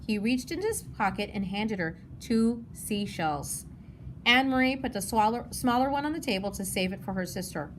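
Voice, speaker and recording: A woman's voice: medium pitch, lively intonation, moderate pace, rough recording. A woman narrating a children's novel as a read-aloud. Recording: noticeable background noise.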